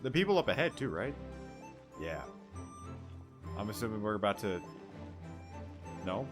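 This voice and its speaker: Man, lowish voice, casual let's play commentary, joking, informal, background game music. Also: big pitch swings, a little slow. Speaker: gaming streamer.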